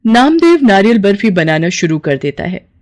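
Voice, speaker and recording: A woman's voice: mid-range voice, very animated, normal speed, darkish tone. Woman narrating a scripted moral story.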